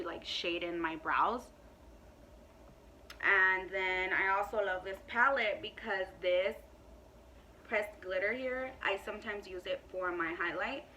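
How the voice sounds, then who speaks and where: A woman's voice: medium pitch, sharp tone, distant mic, dull audio, slight background noise. A woman in her twenties chatting casually to camera in a beauty Q&A, emphatic and full of "like" and "you know.". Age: 20s. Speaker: beauty vlogger.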